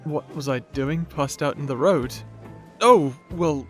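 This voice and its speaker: A man acts out a shy, bookish young wizard with a fairly high voice. He is polite to a fault, anxious and flustered, tripping over his words as in a fantasy audio drama. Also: slow, fairly bright tone, faint music behind. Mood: flustered, apologetic. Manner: stammering, apologetic, flustered. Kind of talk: fantasy audio roleplay acting.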